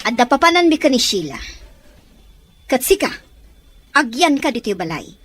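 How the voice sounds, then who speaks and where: Radio drama aunt played by a woman: high voice, curt, domineering, ordering people about. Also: very animated, normal speed, fairly bright tone, soft background music. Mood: bossy, scolding. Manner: curt, commanding. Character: harsh, bossy aunt ordering a child around. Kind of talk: radio drama acting in Ilocano.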